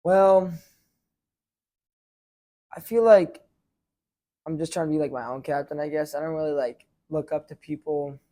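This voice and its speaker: A teenage boy and high school goalie answering interview questions casually, rambling with many fillers and restarts. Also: a little slow, pauses often, mellow. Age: teens.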